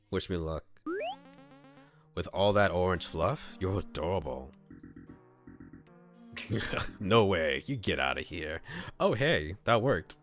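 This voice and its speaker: A man streaming and reading a visual novel aloud, playful and thinking out loud about his choices. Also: low voice.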